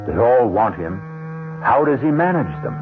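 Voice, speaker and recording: A man's voice: mid-range voice, big pitch swings, normal speed. A man hosting a vintage radio mystery, narrating from a script in a wry, theatrical way. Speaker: host and narrator. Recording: muffled vintage radio, loud music behind.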